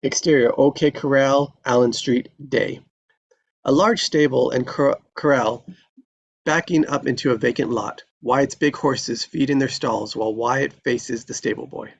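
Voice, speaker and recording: A man's voice: mid-range voice, a little slow, creaky, lo-fi sound. A man reading stage directions as narrator in a live screenplay table read.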